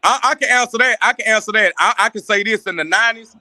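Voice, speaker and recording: A man's voice: high-pitched, fast talker, sharp tone, no echo, studio quality. A man co-hosting a sports talk show, speaking earnestly about growing up in the country and stumbling over his opening words. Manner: earnest, repeats words while starting sentences. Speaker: podcast co-host. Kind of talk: casual debate.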